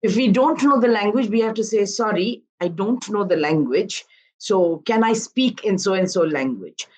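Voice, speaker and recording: A woman in her fifties from Karnataka, an activist guest making an earnest, measured argument on a live news panel. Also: mid-range voice, animated, darkish tone, slight background noise, rough recording. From Karnataka, India.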